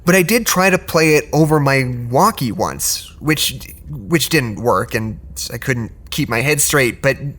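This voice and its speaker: A scattered scientist, mid-pitched, frustrated, stumbling through explanations in naturalistic audio drama. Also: male voice, lively intonation, a bit bright. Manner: halting, stammering, restarts sentences. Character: distracted, frustrated male research doctor.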